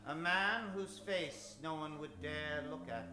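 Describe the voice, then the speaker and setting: A man hosting a live old-time radio adventure show, announcing in a grandiose, hammy, tongue-in-cheek style with dramatic pauses. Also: a little high. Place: live theater stage, echoing room. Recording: very echoey theater, distant, music behind.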